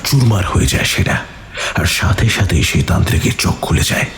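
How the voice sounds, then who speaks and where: A man narrating a scripted horror story in a dramatic, breathy, suspenseful manner. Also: deep voice, animated, fairly quick, a bit bright. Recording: music under the voice.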